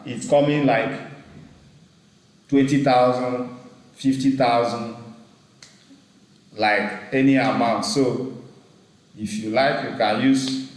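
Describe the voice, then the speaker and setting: Man teaching a live online class, echoey. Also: mid-range voice. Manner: slow, repeating himself, pausing to check the chat. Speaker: instructor. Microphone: computer mic on a video call.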